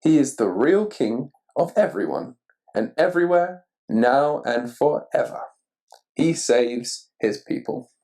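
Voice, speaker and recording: A man's voice: medium pitch, expressive, slow, creaky, mellow. A man voicing an anxious, powerful king in a children's storybook, calling out earnestly. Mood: solemn proclaiming.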